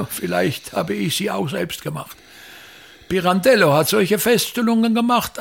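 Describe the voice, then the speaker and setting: A man's voice: high-pitched, gravelly, a bit bright, husky, full character voice. An actor in a radio play as a pompous, self-pitying older theatre director and father, grandiloquent and complaining, repeating himself. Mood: musing, self-important.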